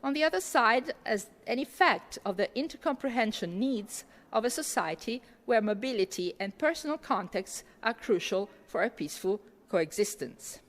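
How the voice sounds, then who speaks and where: Woman, conference keynote, kinda halting with lots of ums, big reverby hall. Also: on the high side, expressive. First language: Italian. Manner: hesitant, many fillers and restarts in answers. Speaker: keynote speaker. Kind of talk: academic lecture and Q&A answers. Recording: long reverb of a lecture hall.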